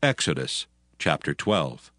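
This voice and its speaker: A man's voice: extremely low pitch, very animated, slow, bright, forceful tone, no echo. A man narrating dramatized scripture in a solemn, formal storytelling manner. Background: music.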